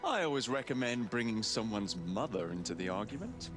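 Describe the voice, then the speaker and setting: An actor playing a cheeky young Victorian rogue with a casual, sarcastic delivery. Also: male voice, lowish voice, big pitch swings, brisk, music in the background. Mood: sardonic.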